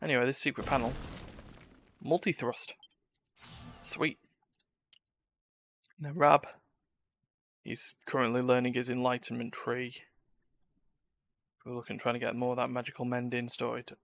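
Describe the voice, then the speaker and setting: A man's voice: mid-range voice, little pitch movement, unhurried, gravelly, pauses often, a bit bright, no room sound. A man streaming a video game, commenting casually and offhand as he reacts aloud to it. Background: faint game music.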